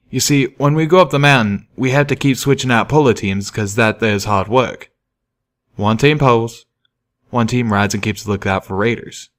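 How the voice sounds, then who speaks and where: A man voicing an affable rustic train-worker pony who explains things in a simple, folksy, drawn-out way for an audiobook. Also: medium pitch, fairly bright tone, close to an everyday voice. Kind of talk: audiobook character voice.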